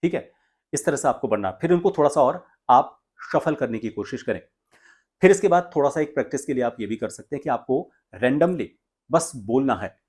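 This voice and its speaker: A man's voice: mid-range voice, expressive, brisk, a little mellow, breathy edge. A man from India presenting an instructional tutorial as a voice coach, explaining exercises step by step.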